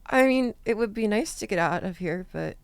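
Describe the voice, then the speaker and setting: A woman acting as a gentle, injured young student who likes to joke, speaking haltingly and mildly in an amateur audio drama. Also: mid-range voice, expressive, clean tone, dry recording, lightly acted. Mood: mild, pleading.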